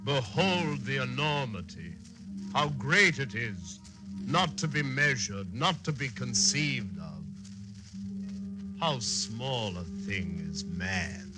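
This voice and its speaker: An actor playing a pompous, florid reader of philosophy and newspaper items in a 1940s radio drama. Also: male voice, medium pitch, expressive, takes it slowly, over music. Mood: awed, grandiose. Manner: florid, declamatory reading. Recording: noisy old 1940s radio recording.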